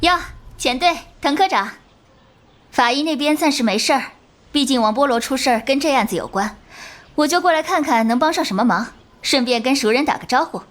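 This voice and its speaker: A breezy, teasing young policewoman in an animated crime drama. Her high voice bounces around in pitch, playful and sure of herself. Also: brisk, a little hiss or hum. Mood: breezy, polite.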